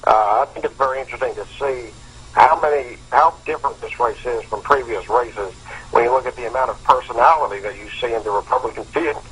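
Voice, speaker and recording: A man on a call to a phone-in show, giving political commentary in an earnest, deliberate way. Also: mid-range voice, a bit bright. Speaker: phone-in caller. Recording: phone call with faint noise.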